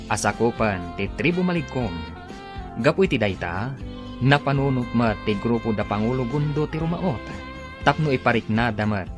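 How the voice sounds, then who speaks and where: A man with a Filipino accent doing scripted radio drama narration, theatrical, with drawn-out emphasis. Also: mid-range voice. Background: guitar and instrumental music.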